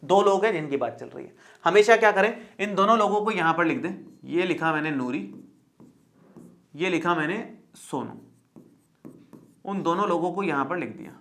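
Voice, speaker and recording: A man's voice: sits high, expressive, normal speed, long pauses, a bit bright. A male math teacher explaining a lesson step by step in a chatty, reassuring way, often repeating points and checking in with the viewer.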